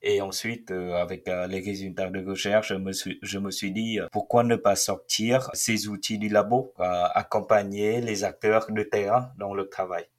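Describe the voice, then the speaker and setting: A man in his twenties with a fairly low voice gives a first-person account of his work. He talks haltingly, filling his pauses often as he explains. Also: steady tone. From Vietnam. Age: 20s. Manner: hesitant, many filled pauses. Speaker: researcher and startup founder.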